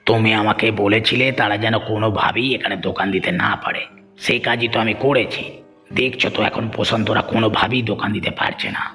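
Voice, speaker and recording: A man's voice: on the low side, steady tone, fast, sharp tone, soft background music. A man voicing a rich villager's scheming henchman and henpecked husband in a cartoon, blustering and defensive. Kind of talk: Bengali moral-story cartoon dubbing.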